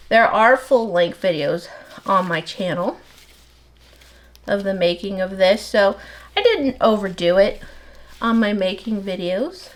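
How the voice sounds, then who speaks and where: A middle-aged woman soap maker with a slightly nasal voice, chatting casually and rambling as she works, talking fast without pausing for breath. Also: medium pitch. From United States. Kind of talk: casual chat while working.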